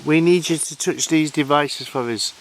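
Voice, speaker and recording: A man with a Sheffield accent on an outdoor ghost hunt, calling out to spirits in a halting way, full of hesitations. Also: fairly high pitch, a little hiss or hum. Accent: Sheffield Yorkshire. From Sheffield, England. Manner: hesitant, halting, many fillers. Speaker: paranormal investigator. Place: outdoors at a woodland waterfall site.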